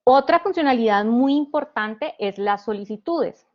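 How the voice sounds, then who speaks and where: A woman's voice: on the high side, lively intonation, unhurried, lo-fi sound. Woman from Honduras explaining step by step. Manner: explains step by step, with frequent restarts and "eh". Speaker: panelist presenting a government digital service. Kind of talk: explanatory presentation.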